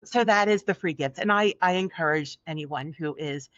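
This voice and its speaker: A woman's voice: low-pitched, moderate pace, a bit bright, dry recording. A middle-aged woman with a Philadelphia accent and a soothing voice, speaking earnestly and encouragingly as a podcast guest. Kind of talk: podcast interview. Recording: dull, dry lo-fi audio.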